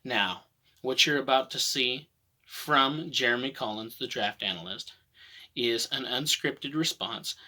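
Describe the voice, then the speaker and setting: A man's voice: mid-range voice, sharp tone. A man hosting a sports interview show, speaking in an upbeat, enthusiastic way. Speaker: host.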